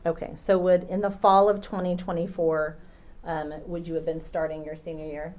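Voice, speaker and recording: Woman questioning a witness in court, slightly low. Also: flat delivery, a little mellow. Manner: patient, measured questioning with filler ums. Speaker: trial attorney questioning a witness. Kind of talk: courtroom direct examination.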